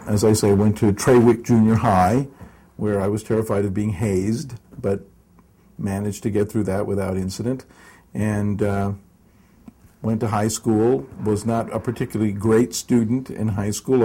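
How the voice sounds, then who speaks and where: Older man, dark mellow tone, rough gravelly texture, halting storytelling, wry humor. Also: on the low side. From United States, raised in Southern California. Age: 60s. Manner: rambling, hesitant with many fillers and restarts; wry, self-mocking humor. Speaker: interviewee. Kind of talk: oral history interview.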